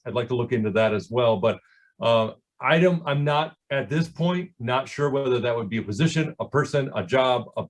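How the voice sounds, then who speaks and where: A man answering as a political candidate at a forum, speaking off the cuff in a halting, stop-and-start way as he searches for words. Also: medium pitch.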